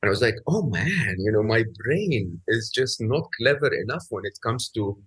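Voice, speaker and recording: A man in his fifties with an other than English, hosting a podcast in casual conversation, soft-spoken with halting restarts. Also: medium pitch, animated, breathy edge. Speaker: podcast host.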